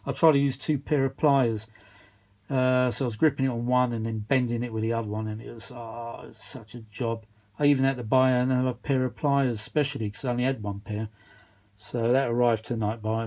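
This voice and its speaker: A man's voice: mid-range voice, darkish tone. A man giving casual, unscripted workshop chat as a repair vlogger, rambling along with a mildly weary grumble. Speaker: vintage audio repair vlogger.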